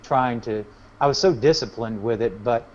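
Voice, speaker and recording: A man's voice: mid-range voice, normal speed. A man chatting casually as a musician guest in an interview, telling anecdotes in a rambling way. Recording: dull, lo-fi audio.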